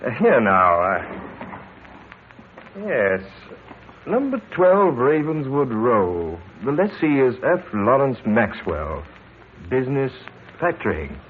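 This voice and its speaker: A man's voice: medium pitch, big pitch swings, slow, pauses often, slight background noise. An actor playing a businesslike rental agent who obligingly reads details from his records, in a tinny old radio drama recording.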